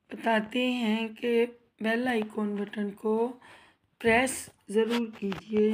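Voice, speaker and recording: A woman's voice: on the high side, darkish tone, rough recording. A woman hosting a home cooking vlog, talking viewers through frying snacks while nursing a sore throat, chatty and friendly with her audience. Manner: chatty, addresses viewers as guys, asks for subscribes. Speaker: cooking channel host. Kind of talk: casual cooking vlog narration. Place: home kitchen.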